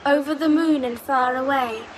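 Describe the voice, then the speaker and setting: A woman's voice: high voice, steady tone, moderate pace, background noise, close to an everyday voice. A woman playing a dreamy, eerily happy young girl in a virtual-reality drama, speaking in lyrical, sing-song short phrases. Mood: entranced pleading. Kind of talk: scripted drama acting.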